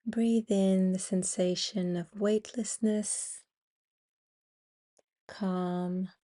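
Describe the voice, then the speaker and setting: Female yoga nidra guide: mellow tone, slow pace, soothing and spacious delivery. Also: mid-range voice, fairly even intonation. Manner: soothing, unhurried instructions with long pauses. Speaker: meditation guide and podcast host.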